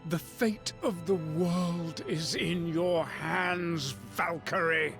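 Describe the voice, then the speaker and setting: A man's voice: a little high, slow, creaky, over music. A man voicing a stern, commanding god-king in a video game cutscene, grave and demanding. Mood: stern, reproachful.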